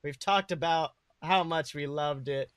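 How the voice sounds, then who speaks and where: A man co-hosting a podcast in a casual, comedic style, animated and crude, swearing freely. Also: a little high, unhurried, clear, resonant tone, no room sound. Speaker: podcast co-host.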